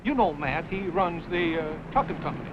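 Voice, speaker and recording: An actor playing a folksy country storekeeper who muses haltingly aloud, in an old-time radio western on a muffled recording. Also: male voice, a little high, animated, natural voice. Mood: chatty, musing. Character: talkative, folksy country storekeeper, slow to recollect. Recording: muffled, faintly noisy vintage radio recording.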